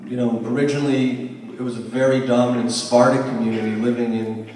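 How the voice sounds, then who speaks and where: A man's voice: mid-range voice, flat delivery, a little fast, a little mellow, a little hiss or hum. A middle-aged man giving a conversational history lecture to a class, with restarts and asides. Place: lecture room. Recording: roomy hall sound, mic at a distance.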